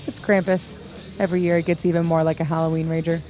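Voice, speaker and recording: A woman's voice: lowish voice, flat delivery, quick pace, over music, natural voice. A woman playing a bold, sardonic, vengeful young woman in a video game, speaking with emphasis. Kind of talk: naturalistic video game acting.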